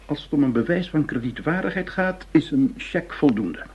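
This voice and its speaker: High, husky, pompous finance official. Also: male voice, expressive, moderate pace. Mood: stern, grudging. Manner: stiff, pompous, prim. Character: indignant, penny-pinching male government finance official. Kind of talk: Dutch radio play acting. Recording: dull, muffled radio drama recording.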